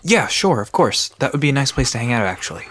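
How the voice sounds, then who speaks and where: A man's voice: medium pitch, big pitch swings, fast, a bit bright, slight background noise. A man playing an easygoing park guide in an audio drama, explaining things in a casual, conversational manner. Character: Sam, easygoing male park guide to cryptids.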